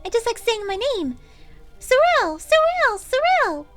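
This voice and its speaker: A woman's voice: very high voice, sing-song, unhurried, fairly bright tone, soft background music, pitched above the performer's own voice, full character voice. A woman voicing a cheeky, bubbly young female character in a story reading, chirpy, playful and singsong. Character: cheeky, bubbly young female cave-dweller who loves her name.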